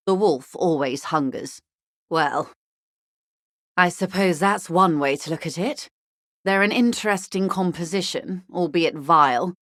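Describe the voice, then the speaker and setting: An actress playing a poised, well-bred corporate negotiator in a video game, speaking in an articulate, measured, drily ironic way. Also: female voice, on the low side, a bit bright, no echo, studio quality. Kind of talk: scripted video game dialogue.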